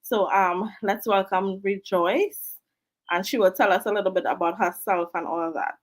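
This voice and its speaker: A woman's voice: mid-range voice, fairly even intonation, moderate pace. A woman hosting an online interview, chatty and laughing often. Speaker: host.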